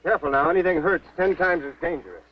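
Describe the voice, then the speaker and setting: Fast-talking 1950s movie diver played by an actor. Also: male voice, on the high side, lightly acted. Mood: urgent, cautioning. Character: Mike, brisk, cocky, helpful diving buddy. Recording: muffled old film soundtrack, distant, faint music.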